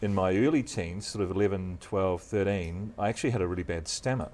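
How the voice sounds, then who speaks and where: A middle-aged man with a deep voice and a New Zealand accent, chatting as a guest in a relaxed, modest, measured way. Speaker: guest, news presenter. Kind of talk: relaxed interview chat.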